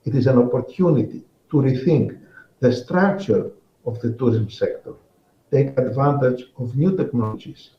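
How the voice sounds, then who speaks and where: A man from Greece, delivering a measured, formal prepared speech as a conference speaker over a remote link. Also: medium pitch, echoey room, muffled recording. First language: Greek. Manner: measured, deliberate, with occasional repeated words.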